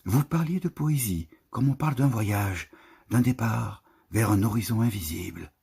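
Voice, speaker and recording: A man with a husky, breathy voice reads poetry in a solemn, lyrical manner, lingering over the phrases of a literary text. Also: lowish voice, lively intonation, normal speed, warm tone. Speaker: poetry reader. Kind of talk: literary poetry recitation, scripted narration.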